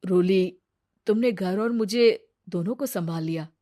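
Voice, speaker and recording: Woman voicing an earnest, gentle single father. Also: medium pitch, a little slow, natural voice. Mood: tender. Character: kind, grateful single father, gentle and responsible. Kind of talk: Hindi cartoon moral story dialogue.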